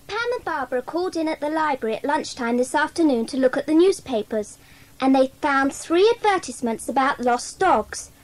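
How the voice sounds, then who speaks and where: Earnest child club member acted by a woman, very high-pitched, eager, for a children's mystery drama. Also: expressive, fairly quick, poor audio. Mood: eager reporting. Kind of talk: dramatised children's audiobook acting.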